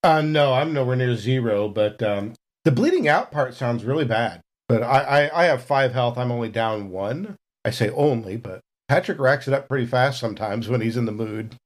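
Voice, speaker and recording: A man's voice: medium pitch, expressive, normal speed. A man playing a tabletop role-playing game, bantering casually with a wry, joking manner.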